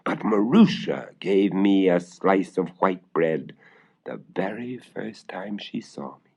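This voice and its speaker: A man's voice: deep voice, animated, slow pace, creaky, darkish tone, husky, full character voice. A man voicing a thin, resentful talking dog with an aggrieved growl, in a storybook reading. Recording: dull, band-limited vintage LP.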